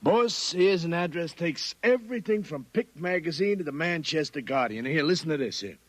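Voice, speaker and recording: An actor in a 1950s radio drama playing a brisk, matter-of-fact assistant at a detective agency. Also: male voice, fairly high pitch, expressive, slight background noise, natural voice. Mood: businesslike.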